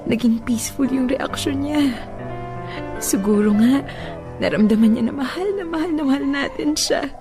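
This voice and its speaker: Fragile grieving Filipino wife, music behind. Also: female voice, sits high, normal speed, long pauses. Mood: sorrowful. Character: grieving young wife who lost her baby, tender, fragile. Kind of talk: Filipino radio drama acting.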